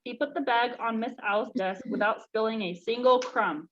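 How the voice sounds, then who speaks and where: A woman reading aloud to children in an expressive, engaging way. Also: a little high, moderate pace. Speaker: storytime reader for children. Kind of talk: read-aloud children's story, then casual chat with children. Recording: dull lo-fi sound, clear background noise.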